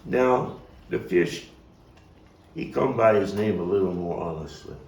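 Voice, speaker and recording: Man acting a gravelly, wry Brooklyn street storyteller. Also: fairly low pitch, lively intonation, a little mellow, highs cut off. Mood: wry, nostalgic. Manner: dramatic storytelling with pauses and emphatic swells. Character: streetwise Brooklyn man reminiscing about his boyhood gang, coarse and wry. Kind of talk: theatrical monologue, table read. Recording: roomy, distant mic, faint noise.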